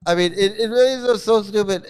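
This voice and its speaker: Brief: sarcastic male commentator, repetitive and mocking, fairly high, very clear voice. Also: fast, darkish tone. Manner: sarcastic, laughs often, repeats himself. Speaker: YouTube commentator. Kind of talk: mocking rant over video clips.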